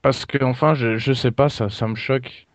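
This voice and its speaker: A man making a prank call to customer support as a customer, speaking in a halting, hesitant way with puzzled offence. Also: medium pitch, steady tone, no room sound. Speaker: prank caller posing as a customer. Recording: dull lo-fi call recording, dry.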